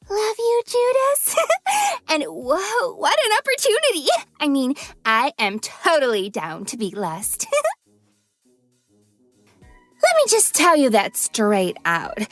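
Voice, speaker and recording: A woman acting a ditzy sorority girl for a visual novel. Her voice is very high and lively, gushing and giggly, drawn out at an unhurried pace. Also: no room sound, full character voice. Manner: gushing, giggly, breathless valley-girl phrasing.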